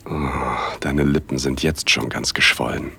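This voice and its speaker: A man narrating a first-person erotic audio drama in a hushed, intimate, unhurried way, with a husky voice. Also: very deep voice, steady tone, slight background noise. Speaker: narrator and male lead.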